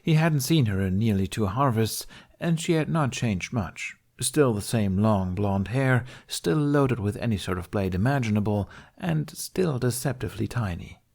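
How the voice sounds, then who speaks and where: A man's voice: lowish voice, expressive, normal speed, creaky, few pauses, darkish tone. A man reading a story aloud as an audiobook narrator in a scripted, storytelling style.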